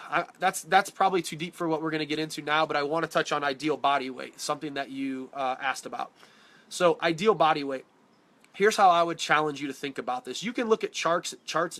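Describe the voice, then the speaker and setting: A man's voice: on the high side, a bit bright. A man coaching on fitness in a casual live-stream Q&A, talking directly and bluntly, sounding congested from a cold. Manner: direct, blunt, answers viewer questions by name. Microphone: phone or webcam on a live stream.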